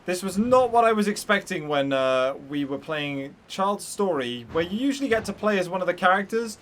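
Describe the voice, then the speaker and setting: A man's voice: high voice, expressive, moderate pace, fairly bright tone. A man giving casual commentary as host of a gaming playthrough video. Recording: faint noise behind voice.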